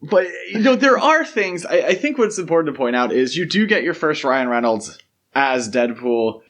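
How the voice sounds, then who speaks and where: A man's voice: fairly high pitch, big pitch swings, normal speed, a bit bright. A man hosting a podcast, discussing film in a casual but detailed, explanatory way. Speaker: podcast host.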